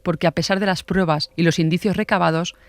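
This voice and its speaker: Male true-crime narrator, slightly high-pitched voice, very dry studio sound. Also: fairly even intonation, fast talker, dry recording. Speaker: podcast narrator.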